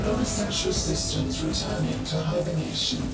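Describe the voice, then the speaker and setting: Facility machine: cold automated alerts, robotic processing, abyssal pitch, echoing. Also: male voice, huge pitch swings, normal speed, creaky, exaggerated voice. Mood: cold, flat. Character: cold deep machine voice of a facility warning system. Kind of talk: automated announcement. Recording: distant echoing announcement under loud music.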